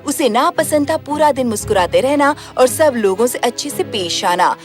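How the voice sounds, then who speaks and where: A woman's voice: high voice, lively intonation, moderate pace. A woman narrator reading a scripted children's fairy tale in storytelling style. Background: music.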